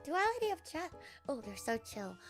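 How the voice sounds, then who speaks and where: A woman's voice: high-pitched, very animated, moderate pace, faint music behind. A young woman with an Australian accent, chatting casually on a live stream and answering comments with sarcastic, swear-heavy banter and frequent laughter.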